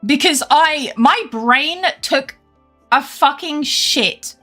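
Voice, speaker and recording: A young woman streamer chatting casually while gaming, animated and swearing freely with frequent laughs. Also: high-pitched, slow pace, soft background music. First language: English.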